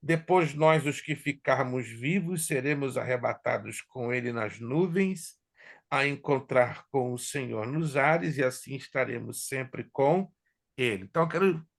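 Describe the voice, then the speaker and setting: A man teaching a theology class as a pastor, whose first languages are Hebrew and Spanish, lecturing in an animated way and joking with his students. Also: mid-range voice, unhurried, creaky. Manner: animated, jokes with students, asks if they follow.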